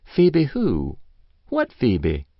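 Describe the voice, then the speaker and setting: A woman's voice: a bass voice, wildly swooping pitch, very slow, no echo, voice pushed up, full character voice. A man voicing a stout, kindly old farm wife who fusses over a guest in rural speech. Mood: curious. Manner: fussing, sympathetic, rural speech. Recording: dull lo-fi audiobook recording.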